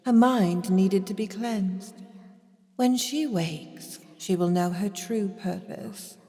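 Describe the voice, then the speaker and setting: Scheming fanatical duchess, played by a woman: slow, deliberate, haughty and theatrical, audio drama with long reverb. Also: mid-range voice, dark tone, soft background music. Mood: sinister, devout. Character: scheming duchess and mother, fanatical servant of vampire queen. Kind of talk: scripted immersive audio drama. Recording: long reverberant hall sound.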